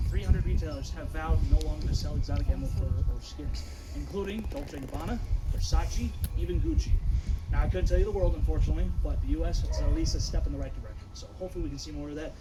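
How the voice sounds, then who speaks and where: A man acting as a wildlife tour guide, talking in a loud, projecting, informative way to visitors outdoors, recorded on a visitor's camera. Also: a little high. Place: outdoors at animal enclosures. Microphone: visitor's camera from among the tour group. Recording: outdoor ambient noise.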